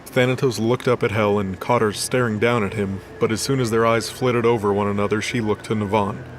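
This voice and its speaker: A man's voice: on the low side, fairly even intonation, a little fast, a little hiss or hum. A man narrating a scripted dramatic story as the storyteller of an audio drama. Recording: voice over background music.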